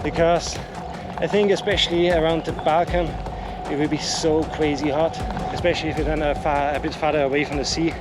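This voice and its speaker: Austrian-accented man, slightly high voice, excited and chatty outdoor vlog talk, loud music behind. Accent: Austrian German. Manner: excited, chatty, talking while out cycling. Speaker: travel vlogger. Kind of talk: casual on-the-road vlog talk. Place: outdoors along a river bike path.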